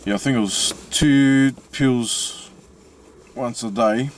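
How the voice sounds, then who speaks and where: An Australian man with a slightly mixed accent from his Italian family, talking casually from inside his car with many pauses and restarts. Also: medium pitch, a little hiss or hum. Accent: Australian with Italian-family influence. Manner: halting, many fillers and restarts. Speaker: vlogger. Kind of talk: casual personal vlog.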